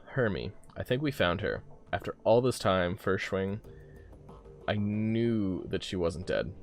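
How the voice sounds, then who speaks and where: Man acting obsessive male scientist, video game dialogue, urgent, excitable, swinging intonation, creaky edge. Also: low-pitched, a little slow, soft background music. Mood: urgent excitement. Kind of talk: reading game dialogue aloud.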